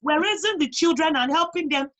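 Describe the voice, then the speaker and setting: High-pitched Nigerian woman, emphatic talker. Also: brisk, a bit bright. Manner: emphatic, repeats phrases for emphasis. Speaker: guest on a talk show. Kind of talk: conversational interview, personal advice.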